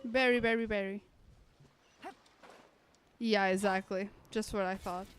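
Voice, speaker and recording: A woman's voice: mid-range voice, steady tone, a little slow, smooth. A woman streamer giving casual commentary as she reacts to a video game.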